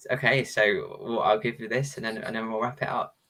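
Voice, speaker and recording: A man's voice: fairly low pitch, fairly even intonation, a little fast, fairly bright tone. A man co-hosting a casual prediction show, thinking aloud in a hesitant way. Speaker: show co-host. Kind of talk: casual chat about match predictions.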